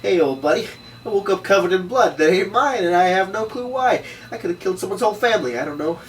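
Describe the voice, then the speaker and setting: A man's voice: high voice, animated, quick pace. Man playing a cynical hardboiled noir detective, distant mic. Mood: panicked. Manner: hardboiled slangy first-person storytelling. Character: cynical, hard-drinking male noir detective, tough and wisecracking. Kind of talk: table read of a noir drama script. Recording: distant microphone, noisy room.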